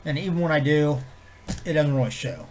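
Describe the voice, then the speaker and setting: A man with a head cold, sounding congested and nasal, chatting to camera in a low-key, meandering way with loose swearing. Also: lively intonation, a little fast, a little mellow, a little hiss or hum. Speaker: vlogger. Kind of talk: rambling casual chat to camera.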